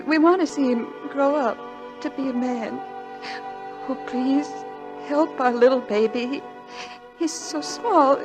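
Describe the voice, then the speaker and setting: A woman playing a devoted, emotional young wife and mother in a 1940s radio drama. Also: high-pitched. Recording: vintage 1940s radio, faint music behind.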